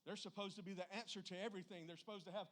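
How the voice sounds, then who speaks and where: A man's voice: sits high, steady tone, very fast, fairly bright tone. A middle-aged man preaching an informal sermon, emphatic and folksy, often putting rhetorical questions to his listeners.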